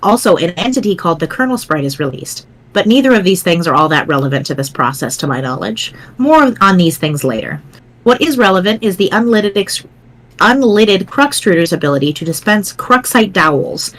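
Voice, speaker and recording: A woman voicing a pedantic, precise young explainer in a dramatic reading, formal, wordy and measured. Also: fairly low pitch, sing-song. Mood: dry, instructive.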